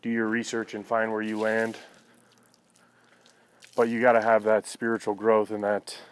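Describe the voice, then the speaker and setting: A man's voice: fairly low pitch, completely flat delivery, normal speed, a little hiss or hum. A man vlogging in a casual, conversational and reflective way, talking to viewers and often checking in with "you know". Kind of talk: casual personal talk to viewers.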